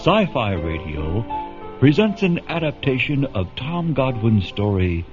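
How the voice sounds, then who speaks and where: An actor playing a solemn, portentous science fiction radio narrator, grave and deliberate in scripted narration. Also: male voice, medium pitch, sing-song. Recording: dull radio recording over music.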